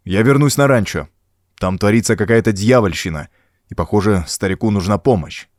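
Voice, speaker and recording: A man's voice: lowish voice, fairly quick, a little mellow, no room sound, clean studio sound, natural voice. A man voicing a tough, protective rancher hero, terse and commanding, in an audiobook. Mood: reassuring.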